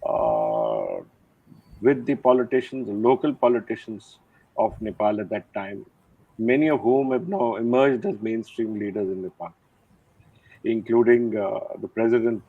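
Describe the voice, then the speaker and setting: Older general on a thin call line. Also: male voice, fairly low pitch, husky. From India. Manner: measured, reflective, many "uh" pauses. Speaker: retired army general, guest. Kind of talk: interview conversation. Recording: thin phone-like line, dry.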